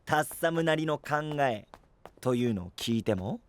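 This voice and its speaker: A man's voice: medium pitch, lively intonation, normal speed, background noise, natural voice. A man playing an earnest, inquisitive young guardian in an anime-style voice drama, blunt and slightly stiff. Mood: earnest, curious.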